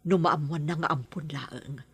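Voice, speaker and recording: A woman with an Ilocano accent playing a worried middle-aged mother in an audio drama, in a husky voice. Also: low voice, moderate pace, a bit bright, no echo, heavily acted. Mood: anxious, gentle. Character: worried mother guarding her adopted child.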